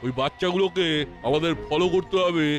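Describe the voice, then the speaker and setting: A man's voice: on the high side, brisk, over music, close to an everyday voice. A man voicing a scheming ringleader in a cartoon, giving calculated orders to his hired spies. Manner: commanding, calculating. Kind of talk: cartoon dialogue.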